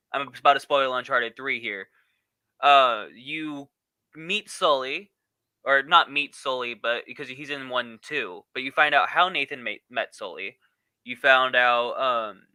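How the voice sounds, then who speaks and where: He has a very bright, crisp male voice recorded quite dry. He explains his point casually as a panelist. The delivery is halting and wanders off before circling back. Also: mid-range voice, no echo. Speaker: podcast panelist.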